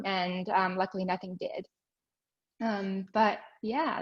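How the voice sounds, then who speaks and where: Woman from Switzerland on a dull video call. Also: mid-range voice. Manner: many ums, restarts. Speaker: guest, ocean art nonprofit. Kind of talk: webinar interview, casual storytelling.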